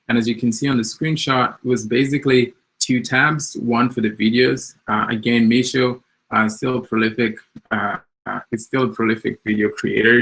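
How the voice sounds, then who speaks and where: A man's voice: lowish voice, vocal fry, a bit bright, poor audio. A man from Mozambique whose first language is Portuguese, speaking in English about his path as an app developer in a hesitant way, with many ums and likes. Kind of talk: personal talk on tech journey.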